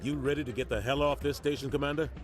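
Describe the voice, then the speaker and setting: An actor playing a loyal, determined middle-aged captain in a video game, speaking gravely and resolutely. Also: male voice, mid-range voice, steady tone, fast talker, faint music behind, natural voice. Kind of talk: dramatic video game acting.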